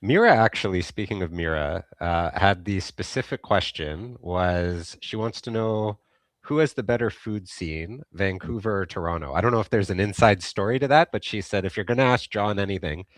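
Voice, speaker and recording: A man with a deep voice hosts a casual interview, putting questions to his guest and dropping in a quick "yeah" as he listens. His voice sounds close and dry, with no room around it. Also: no room sound. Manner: friendly, brief affirmations. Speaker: podcast host.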